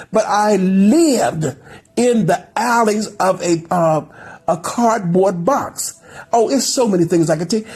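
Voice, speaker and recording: A woman's voice: mid-range voice, a little mellow, husky. A woman in her thirties giving an earnest, preachy pep talk, often repeating her phrases for emphasis. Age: 30s. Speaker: spiritual group team member. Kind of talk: encouraging monologue.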